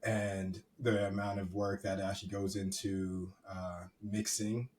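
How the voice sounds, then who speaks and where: A man, a guest in a casual interview, talking in a relaxed, rambling way with many fillers. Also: low voice, a little slow. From Canada. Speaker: guest, music executive. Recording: distant mic with faint background noise.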